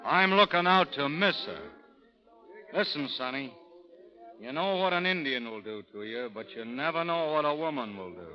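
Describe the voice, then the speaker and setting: A male actor as a dryly humorous frontier scout in an old radio western. His voice sits fairly high for a man and moves around freely, with a folksy, teasing manner. The sound is dull vintage broadcast. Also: animated, normal speed, faint music behind, close to an everyday voice. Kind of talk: 1930s radio drama acting.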